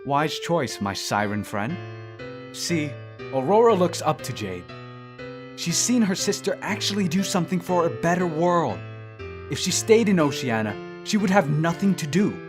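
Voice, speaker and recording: A man's voice: on the high side, big pitch swings, normal speed. A man playing a smug, teasing immortal thousands of years old in an audio drama, with a sardonic, needling manner. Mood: smug, earnest. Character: teasing three-thousand-year-old dream maker, smug male immortal. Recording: voice under plucked guitar music.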